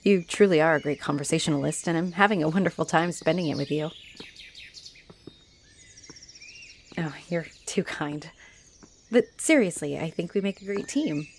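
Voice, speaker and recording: Low-voiced flirty halfling orc played by a woman. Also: brisk. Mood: warm, earnest. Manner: teasing, chuckling, coy. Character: friendly, flirty, playful female halfling orc adventurer. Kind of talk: roleplay audio addressed to the listener. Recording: noticeable noise behind the voice.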